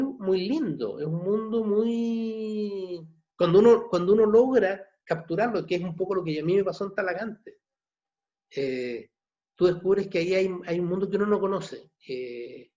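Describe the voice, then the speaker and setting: A man in his sixties from Chile with a Chilean accent, a novelist answering questions at an online book launch, halting and repeating words. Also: high voice, lively intonation, mellow. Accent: Chilean Spanish. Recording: dull muffled video call audio.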